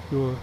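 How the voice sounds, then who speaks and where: A man with a West Country English accent chatting casually while streaming a game, rambling and laughing often. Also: background noise. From England. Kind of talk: casual live-stream chat.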